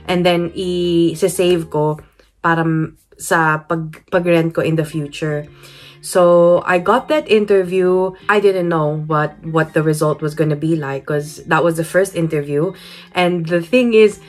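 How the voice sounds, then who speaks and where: Candid Filipina woman, lowish voice. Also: fairly even intonation, measured pace. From Philippines. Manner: candid and earnest, with frequent fillers and restarts. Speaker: vlogger. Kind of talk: personal storytelling vlog, switching between English and Tagalog. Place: indoors, small room with wooden panelling. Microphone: camera at close range, facing it.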